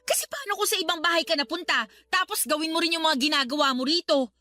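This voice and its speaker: A woman's voice: extremely high pitch, fast talker, bright, forceful tone, soft background music. An actress playing an exasperated young woman in a radio drama, firm and reproachful as she lectures a housemate. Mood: firm, conciliatory. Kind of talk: Tagalog radio drama acting.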